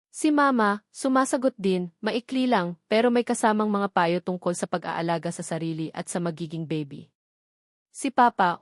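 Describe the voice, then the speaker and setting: A woman's voice: medium pitch, a little mellow, dry recording, clean studio sound. A woman reading a scripted first-person love story as dramatic narration, in an emotive, sentimental storytelling manner.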